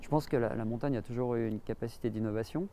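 A man's voice: medium pitch, fast, dark tone, slight background noise. A middle-aged man, giving an interview outdoors and searching hesitantly for his words. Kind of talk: documentary interview. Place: outdoors on a mountain terrace.

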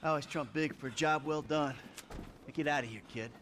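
Brief: male actor voicing a gruff, menacing man, slightly higher voice, noisy game audio. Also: fairly quick, fairly bright tone, close to an everyday voice. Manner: gruff, threatening, swears a lot. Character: middle-aged man, menacing and blunt. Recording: game audio with background noise.

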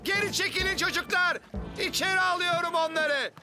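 A man voicing an excitable, hearty fisherman father in a cartoon dub, given to exclamations. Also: very high voice, moderate pace. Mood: determined. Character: excitable, hearty adult male fisherman dad. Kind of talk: animated cartoon dub acting. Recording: music under the dialogue.

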